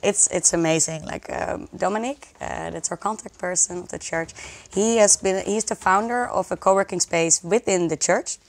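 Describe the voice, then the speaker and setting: Lower-voiced woman explaining with enthusiasm. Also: normal speed. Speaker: interview guest, social project community organizer. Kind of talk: conversational interview.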